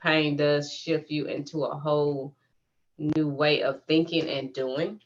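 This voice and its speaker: A woman's voice: low-pitched, level tone, takes it slowly. A woman hosting a podcast in a casual interview, affirming and chatty. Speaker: podcast host. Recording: dull lo-fi audio.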